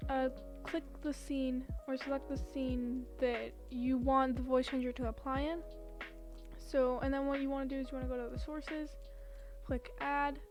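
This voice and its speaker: A young woman with a high voice talks through a software tutorial in an informal, step-by-step way. Music plays behind her as she explains. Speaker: tutorial host.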